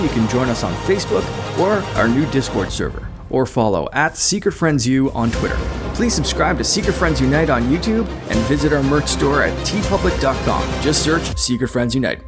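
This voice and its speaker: A man reading a scripted network promo in a smooth, even delivery. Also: medium pitch. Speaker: network announcer. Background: music bed.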